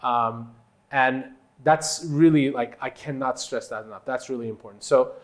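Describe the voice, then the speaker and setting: A man from Turkey with a mid-range voice speaks as an executive being interviewed. He is a native Turkish speaker who builds his answers in halting, conversational phrases, leaning on the points he wants to stress. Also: medium pitch. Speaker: company executive, interview guest.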